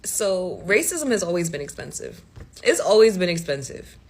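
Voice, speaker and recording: A woman with a lowish voice that rises and falls in a lively way. She makes her points in an emphatic, measured manner. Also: husky.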